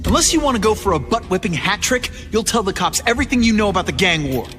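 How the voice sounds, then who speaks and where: A man's voice: high voice, very animated, fast talker, fairly bright tone, in a large hall, faint music behind. A man voicing a cocky but earnest young superhero in a video game, with a teasing, wisecracking delivery. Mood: teasing, pressing. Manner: quippy, teasing. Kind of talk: video game cutscene acting.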